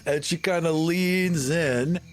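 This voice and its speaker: A man's voice: a little high, takes it slowly, faint music behind. A man playing a tabletop game, chatting casually and narrating his moves in a wry, profane manner.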